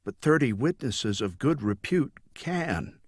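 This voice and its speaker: A man narrating scripted fiction as an audiobook reader, with grave, measured storytelling. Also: medium pitch, very animated, breathy edge, dry recording, studio quality.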